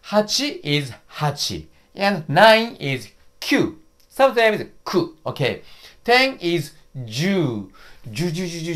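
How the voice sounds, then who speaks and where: A Japanese-accented man in his fifties, a native Japanese speaker, teaching a casual lesson from a small studio room. He talks slowly, drills words again and again, and his pitch swings up and down a lot. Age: 50s. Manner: slow, repetitive drilling with cheerful laughs. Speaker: YouTube host and teacher.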